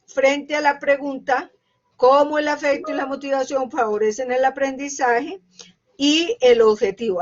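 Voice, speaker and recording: Woman lecturer, higher voice, hesitant explainer, muffled online-class sound. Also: a little mellow. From Colombia. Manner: reads quickly, then explains slowly with many hesitations. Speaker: university lecturer. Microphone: computer mic on a video call. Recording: dull lo-fi online class audio.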